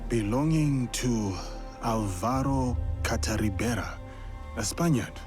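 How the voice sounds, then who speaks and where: An actor playing a calm, loyal samurai ally who speaks in a measured, gently teasing way, over a game score. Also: male voice, mid-range voice, over music, natural voice. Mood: scholarly. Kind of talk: video game cutscene acting.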